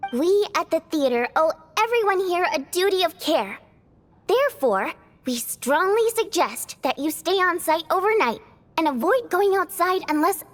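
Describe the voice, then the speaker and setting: A courteous theater hostess in a video game. Her voice is extremely high, formal and dutiful, carrying long reverb as in a large hall. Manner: polite, formal.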